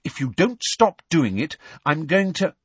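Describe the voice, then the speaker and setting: A man voicing a bossy, righteous teenage schoolgirl who scolds forcefully in an audiobook. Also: on the high side, sing-song, unhurried, breathy edge, no echo, voice pushed up, exaggerated voice. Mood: stern, furious.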